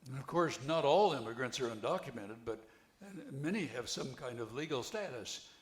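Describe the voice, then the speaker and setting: An older man reading the part of an earnest, helpful widower in a play, with a halting delivery. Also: mid-range voice, lively intonation, close to an everyday voice. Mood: earnest, concerned. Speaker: amateur actor reading a play. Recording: echoey, roomy amateur recording.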